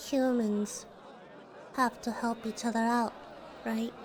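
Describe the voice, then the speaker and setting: A woman's voice: on the high side, flat delivery, slow pace, a faint crowd. A woman voicing a haughty young yokai girl in a radio drama, curt and dismissive. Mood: disdainful.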